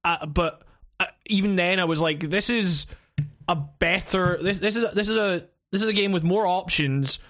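A man's voice: sits high, moderate pace, a bit bright. A man chatting casually about video games, stammering through restarts and often agreeing. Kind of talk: casual gaming chat.

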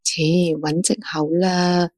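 An actress playing a fussy, nagging best friend in a Cantonese radio drama, with a Hong Kong accent. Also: female voice, lowish voice, level tone, slow pace, darkish tone, no room sound. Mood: mock indignant. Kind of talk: radio drama acting, phone conversation.

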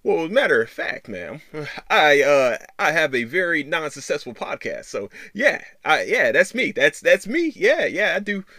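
A man hosting a casual solo podcast, talking in a rambling, halting way with repeated words and loose swearing. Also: a little high, very animated, bright, forceful tone, dry recording, studio quality. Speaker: podcast host.

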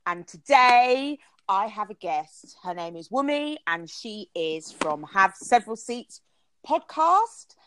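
A woman's voice: mid-range voice, sing-song, slow, noisy background. A young British woman hosting a podcast, chatting casually and laughing often. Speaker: podcast host. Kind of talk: casual chat.

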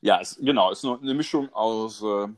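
A man's voice: lowish voice, normal speed. A man co-hosting a casual podcast chat, hesitant with many restarts and a playful, ironic tone. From Germany. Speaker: podcast co-host.

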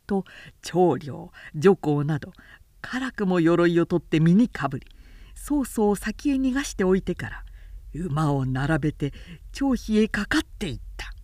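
Slow, dramatic woman storyteller. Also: lowish voice, dry recording, studio quality. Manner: deliberate, expressive, precise diction. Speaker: narrator. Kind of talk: dramatic literary audiobook narration.

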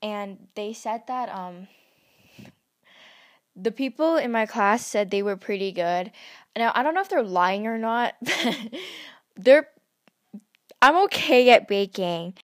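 A woman's voice: mid-range voice, animated, slow pace, pauses often, no echo, clean studio sound. A teenage girl rambling about herself in a casual podcast at home, giggling and joking at her own expense. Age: teens. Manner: giggly, self-mocking, chatty. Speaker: podcast host. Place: home bedroom at night.

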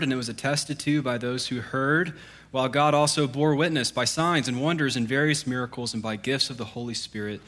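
Youngish guy pastor, bright voice, chatty sermon, cracks jokes about himself. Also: mid-range voice. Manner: self-deprecating jokes, conversational with fillers. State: congested. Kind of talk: sermon with scripture reading.

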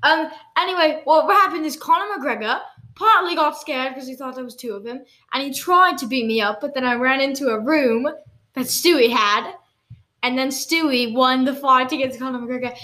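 A woman's voice: high voice, moderate pace, pushed and bright. A woman co-hosting an improvised comedy podcast, playful and giggly as she spins absurd tall tales. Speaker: podcast co-host.

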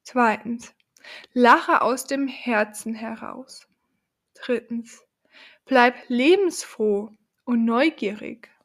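A woman hosting a podcast, talking slowly and thoughtfully to the listener, her voice deepened by a cold. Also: a bit bright. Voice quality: lower than usual from a cold. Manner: slow, thoughtful, addresses the listener directly. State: has a cold. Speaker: podcast host. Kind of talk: reflective podcast monologue.

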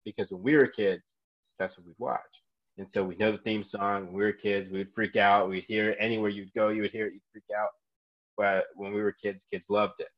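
A man's voice: on the low side, brisk, muffled recording. A man in his twenties telling a story in casual chat, acting out people's reactions as he goes. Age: 20s. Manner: animated storyteller who acts out reactions. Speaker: gym coach and guest.

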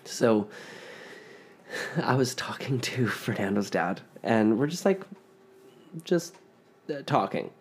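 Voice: medium pitch, expressive, slow pace, long pauses, slightly rough. A podcast host from Ontario with a Canadian accent, chatting casually and telling stories with playful emphasis on words. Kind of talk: casual chat.

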